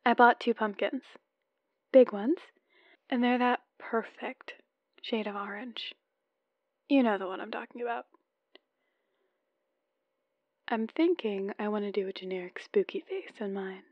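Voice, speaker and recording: Woman performing a dorky affectionate young wife, ASMR voicemail roleplay, chatty and playful, fairly high voice, close dry slightly muffled recording. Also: normal speed, dry recording. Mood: cheerful, eager. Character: adorkable, playful newlywed wife, candle-obsessed and lovesick. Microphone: close microphone, dry.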